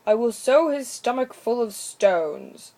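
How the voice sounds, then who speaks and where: A woman's voice: a little high, lively intonation, takes it slowly, dark tone. A grim fairy-tale woodcutter voiced by a woman reader speaks out firmly. Each line is stern and emphatic, as in a bedtime storybook.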